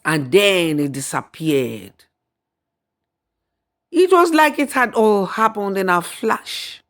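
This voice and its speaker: Slow-paced woman telling a folktale. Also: medium pitch, very animated, fairly bright tone. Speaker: storyteller. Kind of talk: scripted folktale narration with acted dialogue and song.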